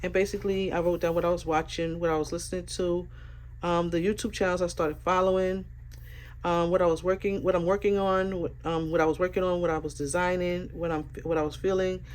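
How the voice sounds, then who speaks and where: A woman's voice: on the low side, a little fast. A woman with a stuffy, congested voice, chatting casually and rambling through a planner flip-through with lots of fillers. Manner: relaxed, rambling, many fillers. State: stuffy from a sinus infection. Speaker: planner vlogger.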